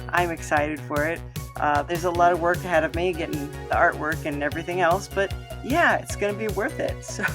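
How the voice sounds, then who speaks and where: Lowish-voiced woman podcaster over music. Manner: chatty, informal, hesitant asides. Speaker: podcast host and creator. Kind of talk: casual closing announcements.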